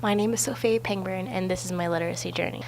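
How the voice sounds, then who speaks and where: A young woman from Belarus, a native Russian speaker, with a raspy, stuffy voice, narrating her personal story for a class project. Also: lowish voice, steady tone, quick pace, darkish tone, a little hiss or hum. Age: young adult. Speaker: student. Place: outdoors at a balloon festival.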